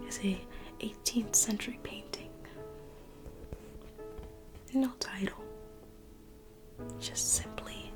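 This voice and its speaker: A woman in an ASMR roleplay, playing a private museum guide in a slow, coaxing whisper that repeats phrases. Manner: coaxing sales-pitch tone.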